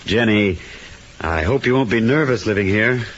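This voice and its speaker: Low swooping male voice, sullen scornful husband, radio play, muffled old recording. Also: expressive, normal speed, fairly bright tone, slight background noise. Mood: subdued, guarded. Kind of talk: old-time radio drama acting.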